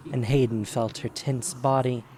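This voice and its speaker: A man narrating an audio drama in a deliberate, expressive, dramatic storytelling style. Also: mid-range voice.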